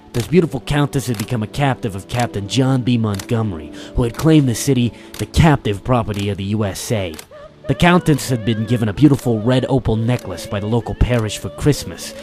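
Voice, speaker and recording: A man's voice: medium pitch, close to an everyday voice. A man voicing an old, alert detective agency man with a formal, fatherly manner in a radio-style detective drama, music behind him. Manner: formal, avuncular, addresses listener as boy and son.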